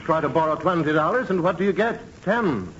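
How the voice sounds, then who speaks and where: An actor playing a pompous, droll gentleman with deliberate, flowery delivery in a 1940s radio comedy. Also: a little high, expressive, natural voice. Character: pompous, droll male neighbour with a flowery turn of phrase. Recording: muffled, noisy 1940s radio recording.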